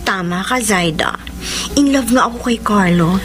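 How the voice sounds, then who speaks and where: A woman's voice: mid-range voice, normal speed, over music, natural voice. An actress with a Filipino accent playing a giggly, gushing young woman in love with her best friend in a radio drama. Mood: lovestruck confessing. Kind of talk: radio drama acting in Taglish.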